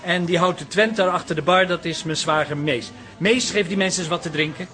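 An actor playing a cheerful, easygoing, slightly vain photographer in a radio play. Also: male voice, high-pitched, fairly quick, pushed and bright, faint music behind. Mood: breezy.

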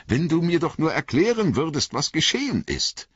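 An earnest young hobbit, as an audiobook narrator puts him on, eager and full of questions. The voice sits well above the reader's own, husky and lively in its rise and fall. It is heard on a slightly dull, lo-fi recording. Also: male voice, a little high, normal speed, no echo, full character voice.

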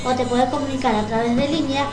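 A woman's voice: high voice, fairly even intonation, quick pace. A woman with an Argentine accent hosting an informal live radio show. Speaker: radio host. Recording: echoey room, music behind. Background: music.

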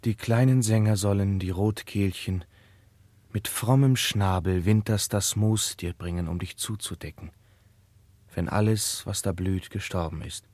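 A tender young prince in a classical radio play. His voice is rather low and closely dry. He speaks verse in a solemn, lyrical way. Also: unhurried, darkish tone, no room sound. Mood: mournful, tender.

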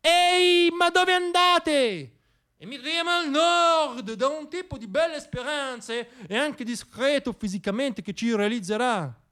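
A man's voice: very high-pitched, slow pace, bright, forceful tone, voice pushed up, full character voice. A man voicing personified dreams that breezily abandon their owner in a stage monologue. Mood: breezy farewell.

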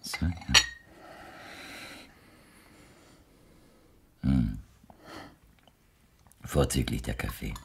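An actor playing a blind widowed former musician with a flowery, hesitant, formally polite and ingratiating way of speaking. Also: male voice, very deep voice, takes it slowly, creaky, darkish tone, breathy edge, heavily acted. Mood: grateful, courtly. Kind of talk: German radio drama acting.

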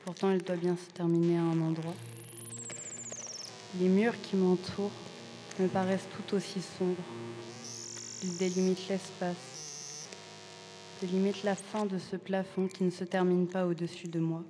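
A young woman giving a measured, deliberate literary reading of introspective prose poetry, pausing between short phrases. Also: lowish voice, fairly even intonation, slight background noise. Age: young adult. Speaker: student reading co-written literary texts. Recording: long reverb, loud music behind.

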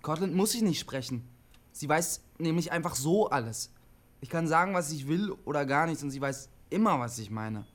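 A man's voice: on the high side, expressive, normal speed, natural voice. An actor playing a defiant, lonely young man telling invented stories in short, matter-of-fact statements in a radio drama. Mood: stubborn, earnest. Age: young adult. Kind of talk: naturalistic German radio drama acting.

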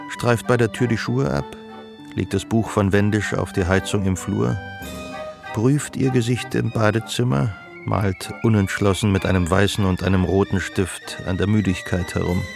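A man acting as a detached, observant storyteller, narrating literary radio drama in a measured way. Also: fairly low pitch, vocal fry. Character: detached, observant male storyteller of a woman's life.

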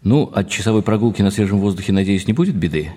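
A man narrating a first-person radio drama in an expressive, confiding, slightly wry way. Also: fairly low pitch, fast, dry recording, studio quality. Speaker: narrator and lead actor.

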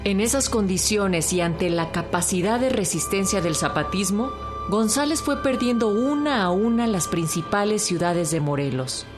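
A woman's voice: medium pitch, animated, moderate pace. A woman reading scripted historical narration for a radio docudrama. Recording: music behind the voice.

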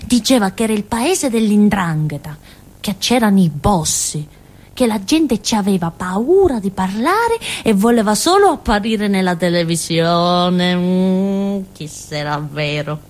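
A woman's voice: mid-range voice, animated, a little slow. An actress playing a naive, stubborn village simpleton girl in a theatrical monologue, with a Calabrian southern Italian accent and a childlike, colloquial, rambling way of talking. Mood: animated, wondering. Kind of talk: theatrical monologue, radio drama storytelling.

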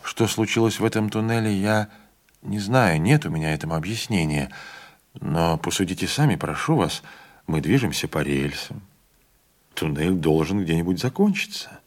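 Man voicing a low, grave train conductor. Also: expressive. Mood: calm grave. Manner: speaks quietly, slowly and firmly. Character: older male train conductor, calm and dignified.

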